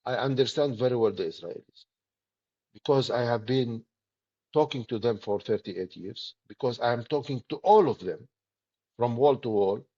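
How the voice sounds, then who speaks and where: A middle-aged man with a Palestinian Arabic accent, speaking earnestly and deliberately in short, emphatic phrases as an interview guest. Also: medium pitch, a little mellow, dull audio. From Palestinian territories. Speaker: political activist, interview guest.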